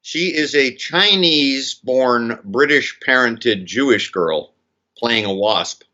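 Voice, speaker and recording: A man's voice: mid-range voice, expressive, slow, sharp tone, dull audio. A man co-hosting a film podcast, making dry, wry remarks in casual chat. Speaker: podcast co-host.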